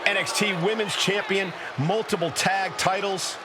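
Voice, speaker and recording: A man playing an earnest pro wrestling commentator in a video game, with measured, polished phrasing. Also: high voice, steady tone, natural voice. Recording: loud arena crowd noise throughout. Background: roaring arena crowd.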